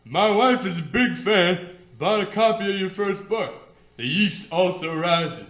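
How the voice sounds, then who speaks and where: A man's voice: sits high, lively intonation, a little slow, fairly bright tone. Man acting a nervous caller, echoey sound. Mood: nervous, earnest. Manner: hesitant, earnest. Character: nervous, polite new father phoning a radio show. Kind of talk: comic community-theater radio play.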